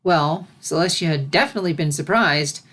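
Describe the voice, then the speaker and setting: A woman's voice: sits low, fairly quick, fairly bright tone. A woman reading a fantasy story aloud as its narrator, in scripted narration. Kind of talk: scripted narration of fan fiction.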